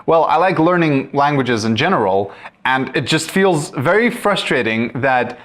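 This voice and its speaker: A man's voice: on the high side, lively intonation, unhurried, a bit bright. Young man rambling thoughtfully to camera. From Russia. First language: Russian. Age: young adult. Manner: thoughtful, hesitant, restarts when searching for words. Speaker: vlogger. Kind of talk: casual rambling vlog talk.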